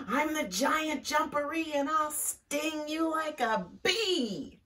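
Woman doing a loud, high-pitched boastful mystery monster. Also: expressive, takes it slowly, distant mic, full character voice. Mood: boastful, menacing. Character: boastful hidden giant jumperee, loud and menacing.

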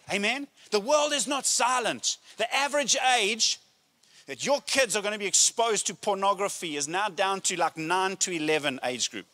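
A middle-aged man, a pastor preaching a sermon with emphatic, deliberate phrasing and pauses, speaking into a handheld microphone. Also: sits high, a bit bright. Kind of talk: sermon to a congregation. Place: church auditorium stage, indoors.

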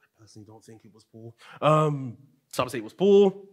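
A young man with a London English accent preaching a sermon from a pulpit, animated, moving between soft asides and raised, emphatic lines. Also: mid-range voice, moderate pace. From London, England. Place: church stage with purple lighting.

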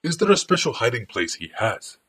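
A man's voice: mid-range voice, big pitch swings, fairly quick, a bit bright, breathy edge, voice pushed up. A man voicing an earnest young male apprentice who sounds guarded and defensive.

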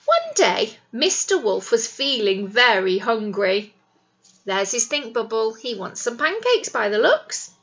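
A middle-aged woman, a primary teacher reading a picture book to young children in an animated, explanatory way with questions to them. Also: a little high, unhurried, dull audio. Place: small indoor classroom. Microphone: webcam or device at arm's length.

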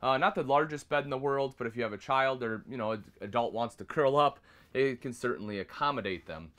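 A man's voice: medium pitch, brisk, a bit bright. A man in his thirties, an RV product specialist, giving an upbeat walkthrough tour inside a small travel trailer and calling viewers folks.

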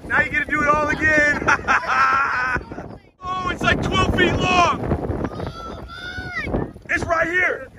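A middle-aged man excitedly narrating a fishing catch on a rocky shore, recorded on a handheld phone. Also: very high-pitched, slow pace, gravelly, pauses often. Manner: excited, exclamatory, urging. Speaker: father filming a fishing trip. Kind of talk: excited vlog commentary. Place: rocky shoreline outdoors by the sea, windy. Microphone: handheld phone camera. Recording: heavy wind noise outdoors.

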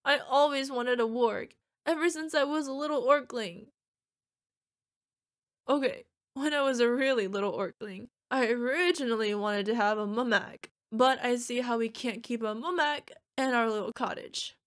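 A woman acts out a young orc girlfriend in an audio roleplay. Her voice is fairly high, and she is gushing, needy and playful. She is fierce yet cutesy, speaking intimately to a partner. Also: normal speed, natural voice. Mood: excited, playful. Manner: gushing, emotional, playful, talking to an unheard partner. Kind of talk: ASMR girlfriend roleplay acting.

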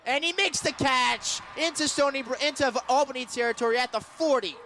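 Male radio play-by-play announcer, high-pitched, excitable football caller who draws out the big moments. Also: brisk, slight background noise. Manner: excitable, shouts and stretches words on big plays. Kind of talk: live football play-by-play commentary. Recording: live radio sports broadcast.

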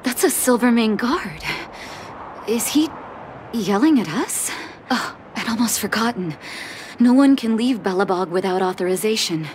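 An actress playing a composed, determined woman medic and rebel leader in a video game, speaking in a measured, earnest way. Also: mid-range voice, animated, a little mellow, a little hiss or hum, close to an everyday voice. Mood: wary, calm. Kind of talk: scripted video game voice acting.

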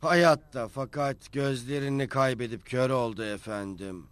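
A man's voice: mid-range voice, unhurried, a bit bright, no echo, natural voice. A man playing a respectful, humble grown brother in a cartoon dub, explaining things deferentially.

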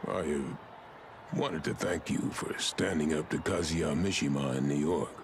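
A man playing a wise, grave older fighter in a game cutscene, speaking in a solemn, measured way. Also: a bass voice, background noise. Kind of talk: dramatic video game cutscene acting.

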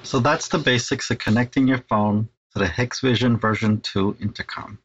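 A man's voice: mid-range voice, normal speed, a bit bright, dull audio, a little hiss or hum. A middle-aged man giving a casual, informal step-by-step tech tutorial, sometimes hesitating and repeating himself. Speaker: tech installer presenting a how-to tutorial.

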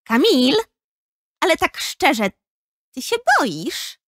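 A woman's voice: high-pitched, big pitch swings, very slow, a bit bright, no room sound, studio quality, heavily acted. A female performer playing an earnest, caring, persistent schoolgirl in a children's audio drama. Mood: probing.

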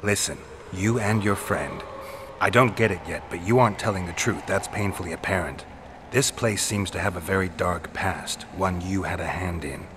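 An actor playing a stern, stoic monster hunter in a fantasy video game, gruff, blunt and controlled. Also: male voice, low-pitched, moderate pace, noisy background, close to an everyday voice. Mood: stern, pressing.

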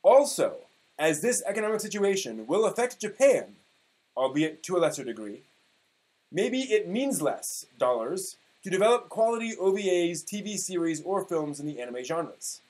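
Theatrical high-pitched man reading aloud. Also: sing-song, a little mellow, low-quality recording. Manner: theatrical, emphatic, halting over misspellings. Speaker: performer reading old forum posts. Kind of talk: mock-dramatic reading of an internet post. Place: small room at home, indoors.

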